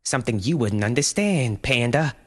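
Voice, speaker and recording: A man voicing a frantic young mother who stammers and pleads in a high, strained voice. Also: expressive, moderate pace, lightly acted. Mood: defiant.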